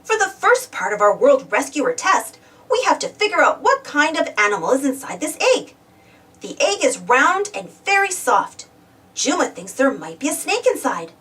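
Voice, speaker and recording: A woman reading a storybook aloud to children in an expressive, exclamatory way, putting questions to her young listeners. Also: sits high, moderate pace. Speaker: storybook reader. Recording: distant from the mic.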